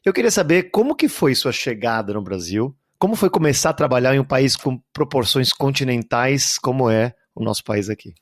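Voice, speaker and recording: A man hosting a health podcast, relaxed and friendly as he interviews a guest. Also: mid-range voice, normal speed, few pauses, dry recording, clean studio sound. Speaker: podcast host. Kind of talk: casual health podcast chat.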